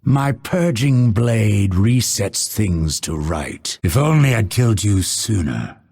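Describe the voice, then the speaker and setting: An actor playing a proud, cold, vengeful assassin in a video game, with a husky voice and measured, haughty, menacing delivery. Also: male voice, fairly low pitch, lively intonation, darkish tone, heavily acted. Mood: cold contempt. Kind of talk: scripted game voice lines, theatrical delivery.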